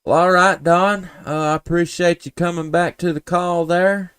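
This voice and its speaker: A man's voice: high-pitched, fairly even intonation, normal speed, a bit bright, clear, resonant tone, dry recording. A man with a Southern US accent chatting and calling as an amateur radio operator, folksy and polite. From Tennessee, United States. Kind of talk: casual ham radio chat and calling.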